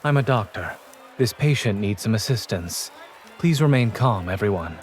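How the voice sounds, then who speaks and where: An actor playing a calm, principled male doctor in a video game, with a composed, measured and deadpan delivery. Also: lowish voice, natural voice. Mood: calm, dry.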